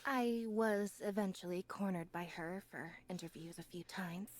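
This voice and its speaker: A woman playing a reserved young female magician's assistant in a fantasy video game, speaking softly in a measured, understated way. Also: mid-range voice, natural voice. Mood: matter-of-fact. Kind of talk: scripted video game character voice lines.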